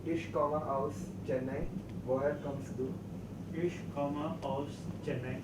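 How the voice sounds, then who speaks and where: A man's voice: on the high side, fairly even intonation, takes it slowly. A man with an Indian accent, a language student carefully reciting simple phrases in a classroom drill. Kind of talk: beginner classroom speaking drill. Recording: distant echoey mic, loud noise.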